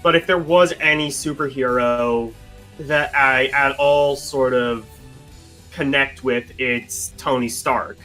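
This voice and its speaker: Bright-voiced man, swearing and halting. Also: mid-range voice, fairly even intonation, faint music behind. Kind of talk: casual chat.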